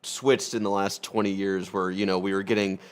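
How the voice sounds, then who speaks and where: A man's voice: low voice, flat delivery, normal speed, clear, resonant tone. A man co-hosting a podcast in casual, unscripted chat. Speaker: podcast co-host.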